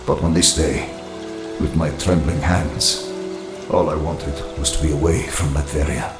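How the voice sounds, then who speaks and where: An actor playing a proud, brooding older tyrant recounting his youth in a grave, measured, haughty dramatic narration for a comic. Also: very deep voice, steady tone, echoey room, over loud music.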